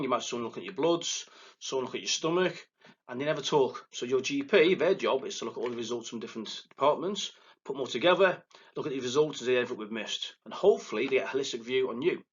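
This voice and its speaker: A man with a thick Liverpool accent giving a recorded lecture as a psychotherapist, excitable and quick, often stumbling and restarting his words. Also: medium pitch, husky, dull audio. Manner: fast, excitable delivery with verbal stumbles and restarts. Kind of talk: recorded lecture to counselling students. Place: presenting alone without a live audience.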